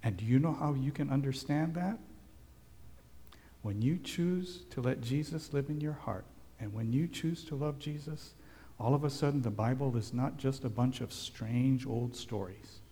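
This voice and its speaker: A man who grew up in Hawaii, giving a gentle children's sermon as a pastor and asking the children questions. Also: mid-range voice, normal speed. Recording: long hall reverb.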